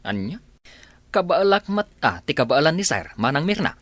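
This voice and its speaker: Animated cheeky Ilocano underling. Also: male voice, on the high side, moderate pace, dry recording. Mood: flustered, boastful. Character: cheeky, nervous male driver and underling. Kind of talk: Filipino radio comedy drama acting.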